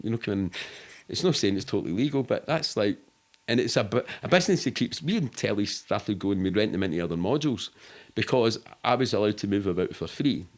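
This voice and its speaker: A middle-aged Scottish man telling stories casually as an interview guest, rambling and sweary in a matter-of-fact way. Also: lowish voice. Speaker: podcast guest.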